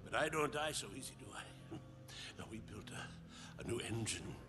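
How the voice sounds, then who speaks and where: An actor playing a bitter, defiant, deranged old survivor in a video game cutscene, halting and theatrical, lapsing into whispers. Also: male voice, mid-range voice, lively intonation, over music, natural voice. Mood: bitter, gloating. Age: older.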